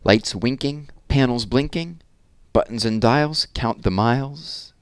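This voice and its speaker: A man reading a children's story aloud as host, with an expressive, deliberate storyteller delivery. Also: mid-range voice, clear, resonant tone, no room sound. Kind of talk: expressive children's picture book read-aloud with informal asides.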